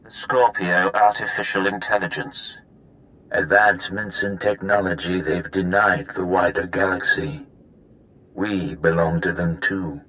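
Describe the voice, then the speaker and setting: A man's voice: low-pitched, steady tone, unhurried, fairly bright tone, rough recording. An actor playing an ancient, weary male prisoner in a video game, solemn and secretive, telling his story with grave deliberation. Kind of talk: dramatic video game acting.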